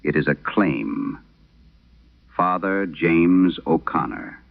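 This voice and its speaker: An actor playing an aging, haunted priest, giving a slow, solemn confession in a grave, measured horror radio monologue. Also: male voice, deep voice, animated, creaky, heavily acted. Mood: grave warning. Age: older. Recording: muffled vintage radio sound.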